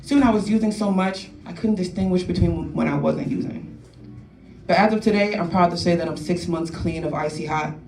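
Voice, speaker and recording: A woman's voice: lowish voice, fairly quick, a little mellow, faint music behind. A young woman in her twenties from New Orleans doing a stand-up comedy set, tagging her jokes with "right?" and laughing at her own punchlines. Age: 20s. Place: comedy club stage. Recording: echoey room, distant mic, noisy.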